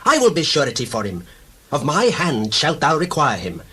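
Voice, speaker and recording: A man's voice: on the high side, wildly swooping pitch, moderate pace, sharp tone, breathy edge, exaggerated voice. An actor playing an earnest, commanding man of the ancient world in a scripture drama, with emphatic declamation. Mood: urgent, pleading. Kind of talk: dramatized scripture reading in archaic English.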